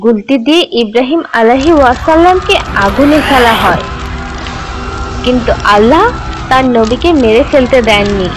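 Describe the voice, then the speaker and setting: A woman narrating a scripted children's story in an expressive, teacherly way. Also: a little high, normal speed, music in the background, low-quality recording. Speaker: narrator of a children's religious cartoon.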